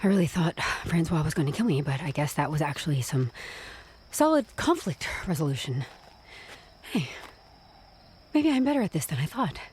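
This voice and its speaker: A woman's voice: sits low, sing-song, moderate pace, darkish tone, slight background noise. A woman playing a wry, flustered office employee in an audio drama, informal and sarcastic. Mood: relieved.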